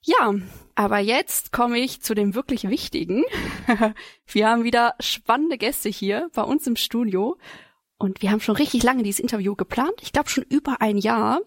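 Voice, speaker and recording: Higher-voiced woman hosting, dry studio sound. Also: normal speed, no echo. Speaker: podcast host. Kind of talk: casual interview chat.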